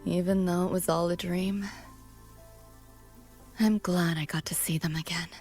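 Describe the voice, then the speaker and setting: A woman's voice: fairly low pitch, fairly even intonation, unhurried, darkish tone, soft background music, close to an everyday voice. An actress playing a wistful, laid-back young woman with street slang, talking casually and in clipped phrases in a game cutscene. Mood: wistful, resigned. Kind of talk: video game cutscene dialogue.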